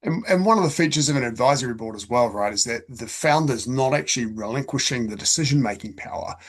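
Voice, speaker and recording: A man with a husky, slightly rough voice at a middling pitch hosts an interview. He talks conversationally and in a measured way, framing each point before putting a question. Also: expressive. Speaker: interview host.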